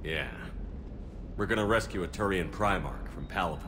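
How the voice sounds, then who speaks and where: An actor playing a steady, authoritative starship commander in a video game, with a terse, measured delivery. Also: male voice, deep voice, noisy background, lightly acted. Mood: dry, firm.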